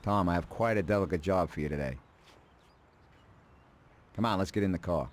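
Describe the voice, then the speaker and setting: A man playing a calm, calculating middle-aged mob advisor in a video game, speaking in a dry, deliberate, matter-of-fact way. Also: low-pitched, lightly acted. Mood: businesslike. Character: calm, calculating male mafia consigliere, drily ruthless.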